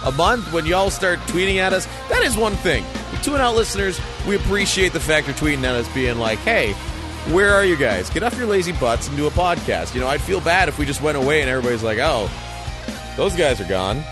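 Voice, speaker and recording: A man co-hosting a casual sports podcast, chatty and easygoing, quick to laugh. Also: fairly high pitch, sing-song, over music. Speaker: podcast co-host.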